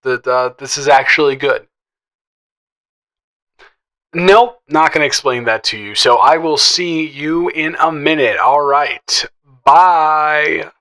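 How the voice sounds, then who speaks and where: Guy playing a teasing best friend type, bright voice, kinda shy n stammery, flirty audio roleplay, chatty. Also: a little high, heavily acted, rough recording. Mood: nervous, friendly. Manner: playful, teasing, halting with stammers and chuckles. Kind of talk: improvised, conversational romantic audio roleplay.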